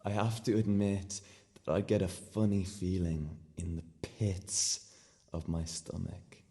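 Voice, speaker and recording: A male actor playing a confused, awkward man who haltingly and earnestly confesses odd thoughts in a radio play monologue. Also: low voice, flat delivery, mellow, long reverb tail. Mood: uneasy, confessional.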